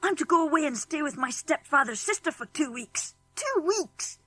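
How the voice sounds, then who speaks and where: Plucky British schoolboy for a storybook: high, animated, earnest, exclamatory. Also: female voice, moderate pace, dry recording, soft background music, heavily acted. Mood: upset, indignant. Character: eager, plucky English schoolboys on holiday. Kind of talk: children's audiobook character acting.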